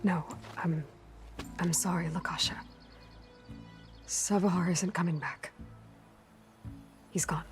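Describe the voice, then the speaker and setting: Game lead: steady young huntress, slightly low register, compassionate but blunt, score playing underneath. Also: female voice, slow, pauses often. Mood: gentle resolve. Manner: frank, reasoning, understated. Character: pragmatic, steady young heroine, wry and compassionate warrior. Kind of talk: video game cutscene acting. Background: music.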